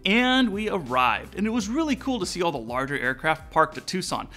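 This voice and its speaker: Bright, animated American man presenting. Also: sits high, fairly quick. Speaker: aviation channel host. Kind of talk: scripted explainer narration to viewers. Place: indoor studio room with aviation decor. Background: music.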